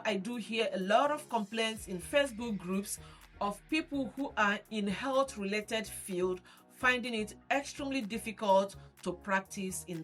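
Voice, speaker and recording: Woman giving measured advice over soft music. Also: medium pitch. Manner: measured, explanatory, advising. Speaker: vlogger giving relocation advice. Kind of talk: scripted explainer to camera.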